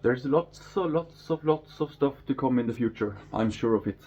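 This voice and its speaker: Rambling Norwegian man, mellow dull-sounding voice. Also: medium pitch, normal speed. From Norway. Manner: rambling, stumbles over words, chuckles at himself. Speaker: aquarium hobbyist vlogger. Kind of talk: casual home tour vlog. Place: apartment, indoors. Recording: slightly dull home recording with faint noise.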